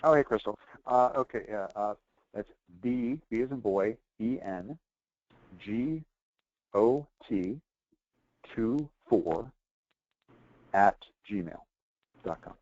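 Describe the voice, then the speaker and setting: Deep-voiced man on a conference call. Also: a little mellow, no room sound. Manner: hesitant, halting. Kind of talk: informal conference call talk. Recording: dull conference phone line.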